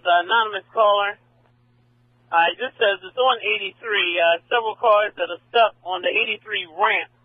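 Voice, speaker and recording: A man's voice: high-pitched, breathy edge, no echo. A man dispatching police units over a radio. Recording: dry, tinny police radio.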